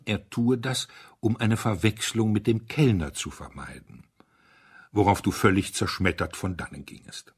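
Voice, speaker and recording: Low, husky male storyteller. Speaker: audiobook reader. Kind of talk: scripted literary narration.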